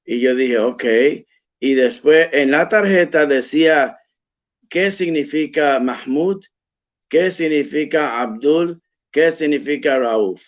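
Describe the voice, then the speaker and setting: Slow, hesitant man telling his story. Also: medium pitch. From United States. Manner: hesitant, many fillers and restarts. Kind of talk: personal storytelling. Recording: dull lo-fi sound.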